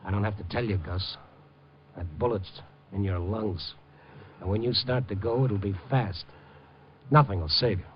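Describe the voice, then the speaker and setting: An actor playing a tough, level-headed newspaper reporter, terse and hard-boiled, in old radio crime drama style. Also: male voice, on the low side, moderate pace, close to an everyday voice. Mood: urgent persuasion. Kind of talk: 1950 radio crime drama acting and narration. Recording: dull old 1950 radio broadcast.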